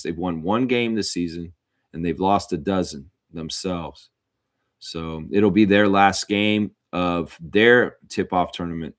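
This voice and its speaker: A man hosting a casual basketball watchalong, calling the play-by-play with informal, chatty asides. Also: lowish voice. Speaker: live stream host calling play-by-play.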